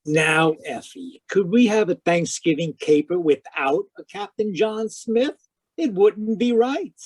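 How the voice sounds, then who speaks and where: An actor playing a wry, hard-boiled private detective in a 1940s radio style, with clipped, theatrical delivery. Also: male voice, sits high, lively intonation, a little slow, no echo. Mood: wry, teasing.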